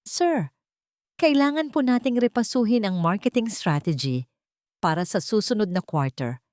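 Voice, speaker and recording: A woman's voice: medium pitch, expressive, normal speed, a little mellow, dry recording, studio quality, pitched above the performer's own voice, close to an everyday voice. Woman as a respectful male office manager. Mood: deferential. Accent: Filipino. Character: respectful male marketing manager addressing his boss. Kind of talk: dramatic audio storybook reading.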